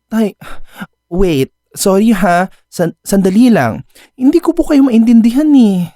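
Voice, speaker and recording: A man's voice: sits high, animated, unhurried, mellow, no room sound, studio quality. A man with a Filipino accent playing a flamboyant, clingy, persistent lover in a radio drama, exclamatory and campy. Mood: defensive, confused. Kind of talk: Tagalog radio drama acting and narration.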